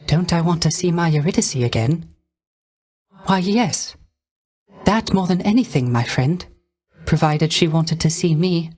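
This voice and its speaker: A melancholy dead male musician in a video game. His voice is light, soft and on the high side for a man. He speaks in courtly, old-fashioned phrases, wistful and a little hesitant, with theatrical gentleness.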